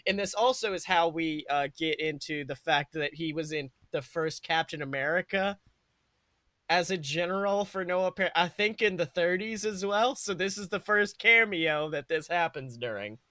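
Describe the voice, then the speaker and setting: A man's voice: fairly high pitch, moderate pace, sharp tone, dry recording. A man co-hosting a podcast, improvising a comedic story in an informal, sweary way with dramatic build-ups. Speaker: podcast co-host.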